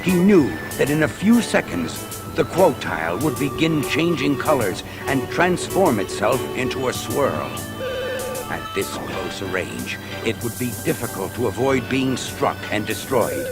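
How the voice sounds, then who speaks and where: A man narrating a science fiction audio drama in a theatrical, suspenseful style with deliberate phrasing. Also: medium pitch, big pitch swings. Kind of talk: dramatic scripted storytelling for a sci-fi audio drama record. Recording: loud music under a 1980s record. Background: loud dramatic music.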